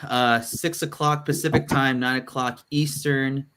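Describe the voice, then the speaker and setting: A man's voice: medium pitch, fairly even intonation, a little slow, fairly bright tone, clean tone. A man hosting a live podcast panel, talking loosely with lots of fillers and restarts. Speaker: podcast host. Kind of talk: casual live panel chat.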